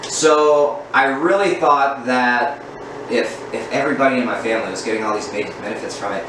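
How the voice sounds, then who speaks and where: A man in his thirties candidly telling his personal story in a casual vlog, in an earnest, unhurried way. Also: mid-range voice. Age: 30s. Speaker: vlogger. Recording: very echoey room, distant mic, steady background hum.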